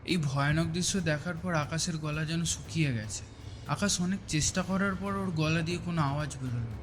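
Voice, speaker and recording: A man's voice: on the high side, fairly quick, a little hiss or hum. A man giving a scripted narration of a horror story. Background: music.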